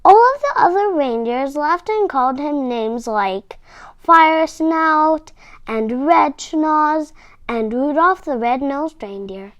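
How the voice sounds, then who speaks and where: High, animated woman reading to children. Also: dry recording, muffled recording. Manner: animated, deliberate, dramatic emphasis. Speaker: storybook reader for children. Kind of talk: expressive read-aloud storytelling for children.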